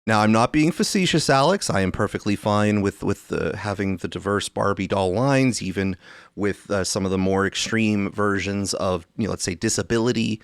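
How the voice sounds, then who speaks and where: A man's voice: fairly low pitch, moderate pace, no echo, studio quality. A man hosting a podcast with casual commentary, wry and roundabout in the way he talks. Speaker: podcast host.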